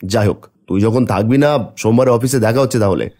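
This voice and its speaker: A man's voice: fairly low pitch, fast, warm tone, close to an everyday voice. A man acting as a young office worker and impatient friend who brushes off worries in a horror cartoon. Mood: exasperated.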